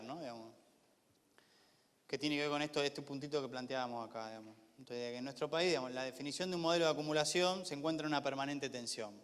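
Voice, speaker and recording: A man with a Buenos Aires accent gives an informal class lecture, haltingly, repeating words and posing rhetorical questions to his listeners. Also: on the high side, clear, resonant tone. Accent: Argentine, Buenos Aires area. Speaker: lecturer, university official teaching a class. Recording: echoey hall with long reverb.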